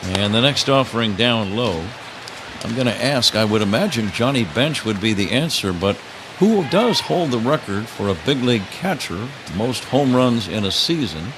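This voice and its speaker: A man's voice: medium pitch, expressive, normal speed. An older man doing live radio play-by-play of baseball, breaking into casual banter, from a stadium broadcast booth. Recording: clear ambient ballpark noise behind.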